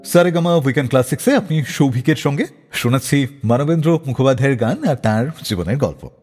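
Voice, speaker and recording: Animated male radio storyteller, very lively pitch. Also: breathy edge, in a large hall. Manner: dramatic storyteller, acts out quoted dialogue. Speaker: radio show host. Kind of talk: scripted storytelling radio narration.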